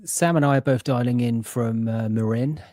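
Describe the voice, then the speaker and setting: A man with a mid-pitched voice casually hosting an online event. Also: normal speed, darkish tone, no echo, studio quality. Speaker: event host.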